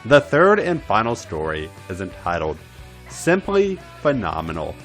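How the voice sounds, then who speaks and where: Male podcast narrator for erotic fan fiction: lowish pitch, hugely varied intonation, music bed. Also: huge pitch swings, measured pace, a bit bright. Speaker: podcast host and story reader.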